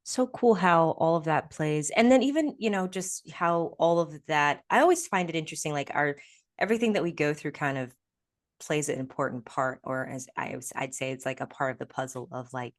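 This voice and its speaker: A woman's voice: sits low, a little fast. A woman hosting a podcast interview, responding enthusiastically and rambling a little as she shapes her next question. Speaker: podcast host. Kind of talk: casual interview.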